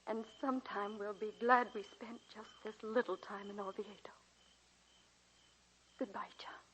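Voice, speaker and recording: A woman's voice: medium pitch, steady tone, measured pace, a bit bright, a little hiss or hum, lightly acted, low-quality recording. An actress playing an earnest, romantic woman college teacher in a 1940s radio drama. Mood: tender farewell. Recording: vintage 1940s radio, faint music.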